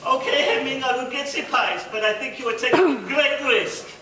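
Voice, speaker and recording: Comic pantomime sidekick played by a male, high and broad, heard from afar in a noisy hall. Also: a little fast, pushed and bright. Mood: cautious. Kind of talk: amateur school pantomime stage acting. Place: large school hall stage. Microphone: distant camera microphone. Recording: distant echoey hall with clear noise.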